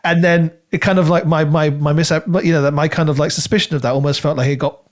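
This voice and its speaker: A man's voice: fairly high pitch, steady tone, quick pace. Man thinking aloud in rambling chat. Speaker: podcast co-host. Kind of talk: casual book discussion.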